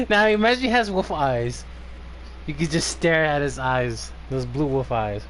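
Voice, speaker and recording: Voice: medium pitch, fairly bright tone, rough recording. A game streamer chatting casually, in a teasing, sweary way. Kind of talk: casual live gaming chat.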